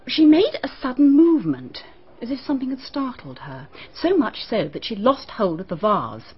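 Prim, guarded schoolteacher. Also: female voice, on the high side, big pitch swings, normal speed, husky, exaggerated voice. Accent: British English. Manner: clipped, guarded, rather prim. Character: prim, nervous woman schoolteacher witness. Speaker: teacher questioned by a detective. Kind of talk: BBC radio drama acting. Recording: dull, muffled archive radio broadcast.

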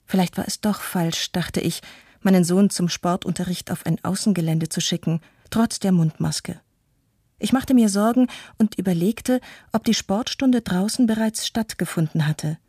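A worried, self-doubting mother played by an actress in a radio play. She sounds subdued and introspective, with a husky, mellow voice in a dry recording. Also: lowish voice, normal speed, dry recording. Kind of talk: scripted radio-play monologue, read testimony.

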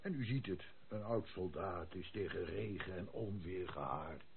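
An actor playing a jovial old soldier in a radio drama, with a flowery, mock-obedient manner and a fondness for food. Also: male voice, low voice, normal speed, creaky. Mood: philosophical. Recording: tinny old radio recording, faint noise.

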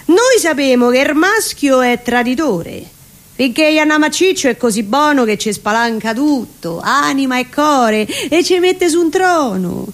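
A woman's voice: high voice, expressive, measured pace, pitched above the performer's own voice. A woman voicing a shrewd, defiant female scorpion in recited verse, assertive and theatrical, with a Roman accent. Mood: defiant, bitter. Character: shrewd, defiant female scorpion justifying killing her mates.

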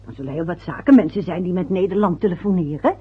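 Family radio play: a restrained, soothing woman with a very husky voice, heard on a badly muffled recording. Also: on the low side, fast. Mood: placating. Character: patient, soothing family member of a grumbling old man. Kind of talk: radio drama acting.

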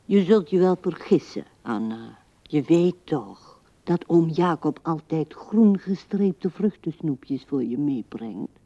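Old widow in a radio play, talks real slow and creaky, drifting tender thoughts, muffled old recording. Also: female voice, low voice, lively intonation, dark tone, exaggerated voice. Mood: soothing. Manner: musing, drifting, talking to herself and the absent. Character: old widowed woman musing tenderly at husband's grave. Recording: dull 1970s radio drama recording.

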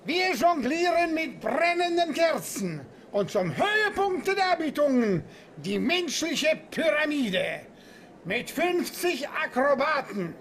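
High-pitched, bombastic circus director. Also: male voice, sing-song, takes it slowly, a bit bright, slight background noise, exaggerated voice. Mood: grandly announcing. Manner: theatrical, grandiose, swooping delivery. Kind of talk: radio play acting.

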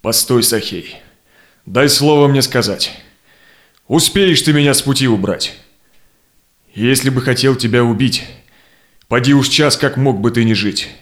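An actor playing a steadfast, conciliatory Cossack envoy in a verse audio drama, speaking in measured, persuasive declamation. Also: male voice, mid-range voice, lightly acted. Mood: calm, pleading. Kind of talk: theatrical verse drama acting.

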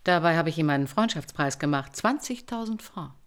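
An actress playing a wary, streetwise fortune-teller of about fifty in a radio crime drama, speaking bluntly and guardedly. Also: female voice, deep voice, animated, a little fast. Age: 50s.

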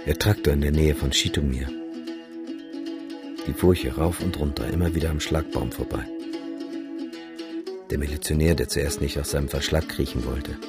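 An actor playing a weary, gruff survivor in a German radio drama, speaking in a blunt, brooding, laconic way. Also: male voice, a bass voice, fairly even intonation, normal speed, pauses often, over loud music. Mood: reminiscing. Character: weary, gruff man who survived many trips into a deadly zone.

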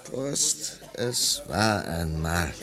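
A man in a radio play, playing a nostalgic, idealistic artist who speaks in a halting, rhapsodic and ponderous way. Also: low voice, big pitch swings, fairly bright tone, husky, heavily acted. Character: nostalgic, idealistic male artist, reverent and world-weary. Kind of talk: German radio play acting.

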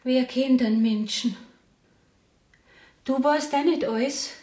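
A woman's voice: high voice, takes it slowly, mellow, roomy sound. An actress playing a troubled young village girl in a Bavarian dialect radio play, imploring and earnest. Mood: troubled, weary.

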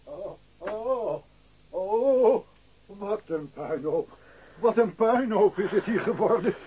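A man's voice: high voice, lively intonation, takes it slowly, slightly rough, muffled recording, full character voice. An actor playing an anxious, fussy older father in a radio play, flustered and stammering as he repeats himself. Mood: dismayed. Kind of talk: Dutch radio play acting.

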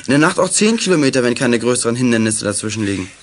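A young male actor playing an eager schoolboy detective in a children's radio play, brisk and keen. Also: medium pitch, very animated, slight background noise, close to an everyday voice. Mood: keen, cheerful. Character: eager schoolboy amateur detective with walkie-talkie.

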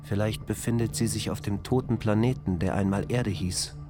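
A man voicing a calm male onboard ship computer that tells a bedtime fairy tale in a measured, matter-of-fact way. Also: fairly low pitch, lightly acted. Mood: musing. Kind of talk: German radio drama acting. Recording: radio play with music underneath.

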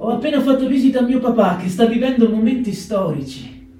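An actor playing a compassionate wartime camp letter writer, in an expressive theatrical reading with dramatic pauses. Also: male voice, extremely high pitch. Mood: tender, wry. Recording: echoey hall, distant mic, loud guitar. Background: live plucked guitar music.

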